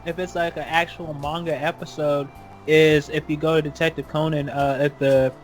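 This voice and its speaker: Male podcast host, higher-than-average pitch, casual and opinionated, faint music underneath. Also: level tone, normal speed. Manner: opinionated, ranting. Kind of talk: casual fan podcast chat.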